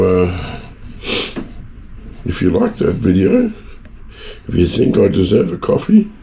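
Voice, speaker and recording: A man with a hoarse, failing voice from a bad cough, casually talking viewers through his home refining work in a chatty, rambling way. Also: on the low side, warm tone. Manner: chatty, rambling, self-mocking asides. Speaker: hobbyist gold refiner and video maker. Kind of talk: casual talk-through of a lab process.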